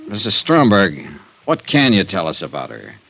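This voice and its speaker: An actor playing a calm, laconic male insurance investigator who also narrates, in a 1950s radio drama. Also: deep voice, moderate pace, gravelly, no room sound, lo-fi sound, full character voice. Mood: patient. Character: cool, dry-witted male insurance investigator. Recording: old radio recording with music behind.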